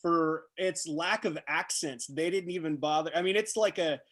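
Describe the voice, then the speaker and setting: Man, slightly high voice, interviewer, casual, rambling, searches for words. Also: normal speed, a bit bright.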